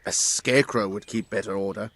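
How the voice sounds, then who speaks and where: An actor playing a put-upon medieval village tanner in a video game, complaining bitterly in colourful terms. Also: male voice, fairly low pitch, steady tone, brisk, fairly bright tone. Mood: indignant. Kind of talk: video game dialogue acting.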